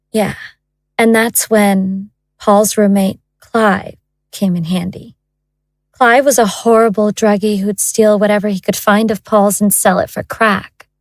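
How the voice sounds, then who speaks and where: Woman as wry female narrator, hard-boiled crime story, unhurried, vocal fry, medium pitch, matter-of-fact. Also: dry recording.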